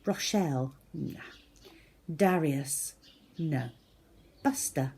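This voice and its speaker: A woman reading a children's book aloud as a storyteller, in an expressive, theatrical manner. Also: low voice, extremely slow, breathy edge. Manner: animated, theatrical, with acted-out sound effects.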